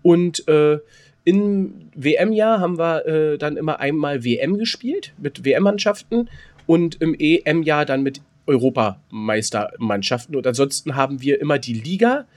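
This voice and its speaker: A man with a husky voice, chatting casually and excitably as a podcast co-host, often repeating himself. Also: on the high side, measured pace.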